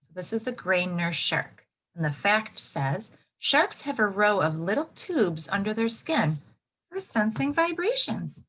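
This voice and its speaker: Female teacher for a children's read-aloud: very lively pitch, unhurried delivery, expressive, explains things simply as she goes. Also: a little hiss or hum.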